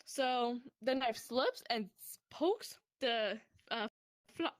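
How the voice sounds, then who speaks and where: A young woman casually telling a personal story to a friend in a rambling, animated way, swearing freely. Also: a little high, slow, no room sound, clean studio sound.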